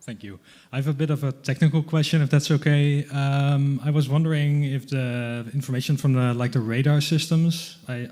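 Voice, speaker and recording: A man's voice: medium pitch, fairly even intonation, normal speed, warm tone. A man in the audience politely asking a technical question in a conversational way.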